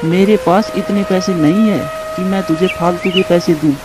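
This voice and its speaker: A scheming, stingy crow from a children's cartoon, acted by a man. He speaks bluntly in an unusually high voice, over prominent music. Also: fairly even intonation, normal speed, lightly acted, low-quality recording. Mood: dismissive. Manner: blunt, dismissive. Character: stingy, scheming male crow, stern older brother. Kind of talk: Hindi children's cartoon voice acting. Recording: music bed behind the voice.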